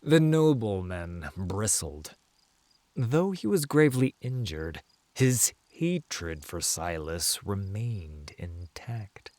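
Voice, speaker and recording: Slow, low-voiced dramatic male narrator. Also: very animated, darkish tone, no room sound. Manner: theatrical, measured, emphatic. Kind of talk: dramatic scripted story narration. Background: music.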